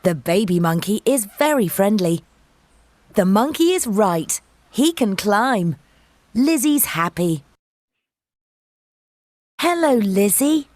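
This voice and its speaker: A woman reading a scripted storybook for young learners, with deliberate, simple phrasing. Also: mid-range voice, sing-song, darkish tone, no echo. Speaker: audiobook narrator for young learners. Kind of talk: scripted children's storybook narration.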